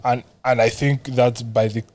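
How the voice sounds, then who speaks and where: A man's voice: mid-range voice, level tone, normal speed, a little mellow. Man explaining things in casual chat. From Ireland. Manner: explains step by step, stumbles on starts. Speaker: podcast co-host.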